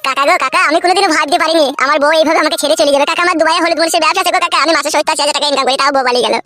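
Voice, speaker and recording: A man voicing a whiny, hot-headed young village husband in a comic cartoon, complaining crudely and excitably. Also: extremely high pitch, very fast, soft background music. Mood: whiny, indignant.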